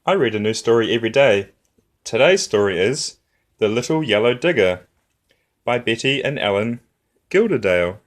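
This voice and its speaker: A man reading a rhyming picture book aloud for young children in an expressive, rhythmic way, as a storytime host. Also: mid-range voice, normal speed.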